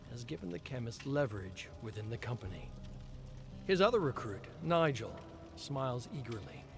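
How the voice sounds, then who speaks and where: Man reading game lore over music. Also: mid-range voice. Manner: measured, expressive storytelling delivery. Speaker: lore reader. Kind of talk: dramatic reading of game story text. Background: clear background music.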